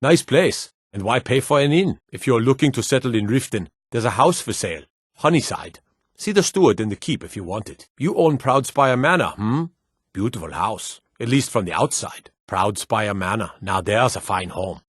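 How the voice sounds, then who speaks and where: A man voicing a gruff, wary city guard in a fantasy video game, stern, clipped and world-weary. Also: mid-range voice, lively intonation, normal speed, no echo, studio quality. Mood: plain, matter-of-fact. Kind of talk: video game character dialogue.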